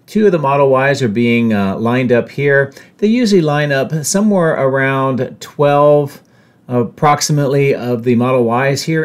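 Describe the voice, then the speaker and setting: A man in his fifties giving a measured, matter-of-fact explanatory narration as a drone vlogger. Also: medium pitch. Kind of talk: explanatory site-update narration.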